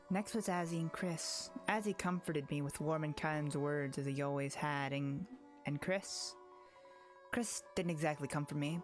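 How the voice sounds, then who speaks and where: A woman reads as an anxious, lonely young girl in a visual novel. Her voice is low for a female, earnest and wistful, like private thoughts, with faint music underneath. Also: lightly acted. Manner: earnest, wistful inner monologue. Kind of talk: visual novel read-aloud. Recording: music behind the voice.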